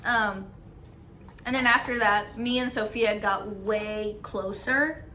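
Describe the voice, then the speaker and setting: A woman's voice: mid-range voice, slow pace, sharp tone, a little hiss or hum. A young woman from Mexico, a podcast host, telling a long personal story in casual chat, full of fillers and restarts. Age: young adult. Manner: rambling, filler-heavy storytelling.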